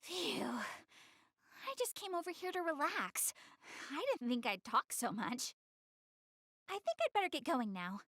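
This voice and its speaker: A woman's voice: high voice, very animated, a little slow, pauses often, a bit bright, no room sound, clean studio sound, exaggerated voice. A woman playing a proud, clumsy, secretly caring anime girl in a video game, chatty, defensive and easily flustered. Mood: casual, friendly. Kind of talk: English-dubbed anime video game cutscene acting.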